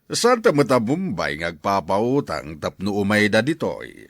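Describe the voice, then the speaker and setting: Radio drama villain, male actor: rich stingy elder, sneering, extremely animated pitch, dry sound. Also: measured pace, no echo, full character voice. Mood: contemptuous. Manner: scornful, sneering, incredulous. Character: rich, mocking, stingy older village man.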